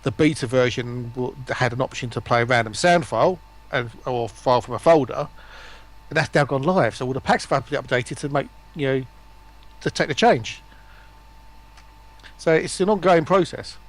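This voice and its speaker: A man with a mid-range voice joins a relaxed online discussion as a guest. He speaks conversationally, as in an informal group chat. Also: mid-range voice, moderate pace. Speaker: stream guest. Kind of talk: casual stream discussion.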